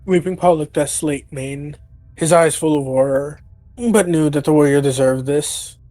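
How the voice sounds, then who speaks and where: A man's voice: a little high, fairly even intonation, moderate pace, warm tone, no room sound, soft background music. A man narrating a dramatic roleplay story as one of its storytellers.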